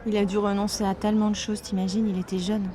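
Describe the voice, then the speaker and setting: A woman's voice: medium pitch, flat delivery, quick pace, a crowd in the background, close to an everyday voice. An actress playing a curious, informal young woman in natural conversational audio drama dialogue. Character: curious young female confidante, imaginative and informal.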